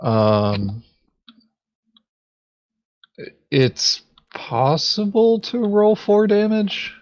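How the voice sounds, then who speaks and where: Very slow-talking man thinking aloud. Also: sits high, sing-song, a little mellow, no echo, muffled recording. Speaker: board game player. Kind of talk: casual game table chat.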